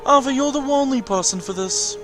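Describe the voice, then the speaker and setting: A man's voice: very high voice, brisk, a bit bright, soft background music, voice pushed up. A man voicing a mysterious, grand male mentor from a video game, theatrical and instructing. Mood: instructive.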